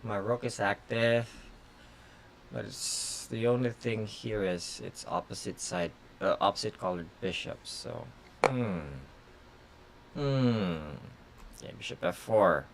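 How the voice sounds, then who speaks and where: A chess grandmaster whose first language is Tagalog, streaming blitz games and thinking aloud in short, halting phrases full of fillers. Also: male voice, on the low side, creaky, slight background noise. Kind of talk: casual live-stream commentary.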